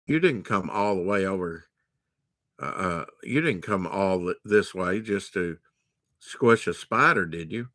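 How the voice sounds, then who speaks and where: Dude doing a cocky joking young superhero, punchy storybook voice. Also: male voice, low voice, lively intonation, unhurried, bright, forceful tone, no echo, studio quality, heavily acted. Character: wisecracking young male superhero.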